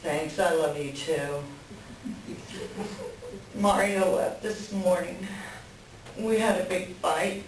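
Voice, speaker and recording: A woman's voice: fairly low pitch, slow, pauses often. A woman playing an upset, bitter wife venting about her cheating husband in a staged play reading. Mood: bitter, weary. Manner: agitated, colloquial venting. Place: library room reading before an audience. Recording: very echoey room, distant mic, faint noise.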